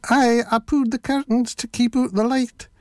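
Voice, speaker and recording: A woman voicing a storybook wolf who poses as a sweet, frail old granny in a squeaky, wheedling Scottish falsetto. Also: steady tone, moderate pace, darkish tone, no room sound, clean studio sound, close to an everyday voice. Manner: wheedling, honeyed. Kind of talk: children's storybook character voice.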